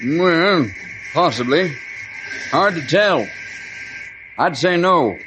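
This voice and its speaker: An actor playing a cautious, folksy, deferential railroad engineer in an old-time radio western. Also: male voice, a little high, animated, drawn-out words. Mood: wary. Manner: folksy, clipped. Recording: noisy old radio broadcast.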